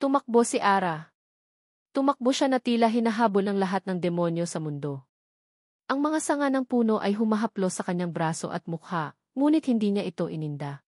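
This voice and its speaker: A woman's voice: medium pitch, animated, a little fast, darkish tone, no room sound, clean studio sound. Woman narrating a dramatic story.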